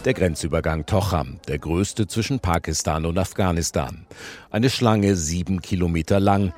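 A man's voice: deep voice, normal speed, a little mellow. A man reads a scripted radio news report as the reporter. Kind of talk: scripted news report narration with translated quotes read over.

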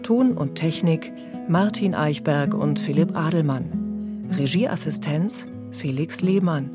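A woman's voice: deep voice, slow. A woman reading the closing credits of a radio play as a scripted announcement. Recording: music behind the voice.

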